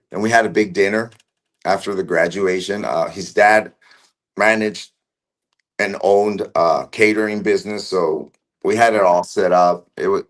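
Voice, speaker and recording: Low-voiced man recalling haltingly. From Argentina. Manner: halting, with many fillers and restarts. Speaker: interview guest. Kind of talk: casual recollection.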